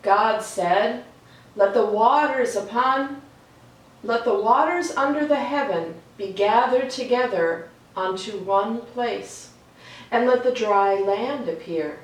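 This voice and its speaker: A woman reading aloud for a children's storytime in a slow, deliberate, expressive way. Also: a little high. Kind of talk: read-aloud storytime with chatty asides. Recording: echoey room, distant mic, faint noise.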